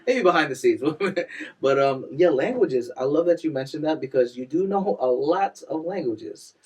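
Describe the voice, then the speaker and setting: A young man hosting a casual interview show, energetic and quick to hype up his guest and laugh. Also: a little high, husky, distant mic. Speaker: podcast host.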